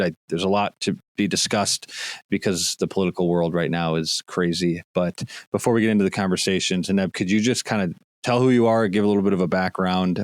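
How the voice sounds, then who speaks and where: A man hosting a podcast, reading a scripted introduction and then chatting with his guest in an earnest, slightly halting way. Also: low-pitched, dry recording, studio quality. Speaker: podcast host. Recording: loud music underneath. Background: loud music.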